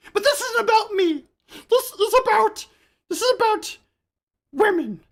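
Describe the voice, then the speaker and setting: A man's voice: very high voice, fairly bright tone, voice pushed up, heavily acted. A man voicing a small cartoon dinosaur who pleads and wallows in an overwrought, self-pitying mock apology. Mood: tearful, pleading. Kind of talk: mock YouTuber apology video improv.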